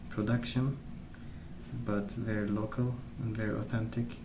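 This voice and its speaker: Soft-spoken man, low and creaky. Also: flat delivery, normal speed. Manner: hesitant, meandering, thinking aloud. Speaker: ASMR video creator. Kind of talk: soft-spoken ASMR rambling while colouring. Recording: roomy, distant mic, noisy background.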